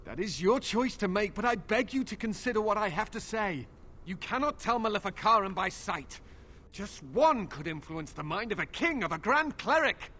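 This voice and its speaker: An actor playing a stern, wary templar commander in a fantasy game, speaking in a grave, formal way. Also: male voice, high voice, expressive, normal speed, sharp tone, full character voice. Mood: grave, earnest. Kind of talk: game voice acting.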